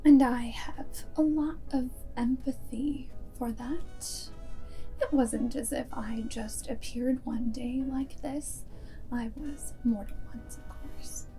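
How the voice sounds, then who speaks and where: Very slow, soft-spoken vampire girlfriend, acted by a woman. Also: sits high, steady tone, soft background music, rough recording. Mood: coolly condescending. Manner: unhurried, deliberate phrasing with breathy whispered stretches. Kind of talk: ASMR roleplay, half-whispered.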